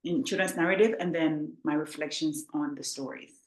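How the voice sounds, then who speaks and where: A woman's voice: low voice, steady tone, normal speed. A woman from Ghana, a guest author, telling her story in an earnest, heartfelt way. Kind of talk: interview storytelling. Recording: echoey room.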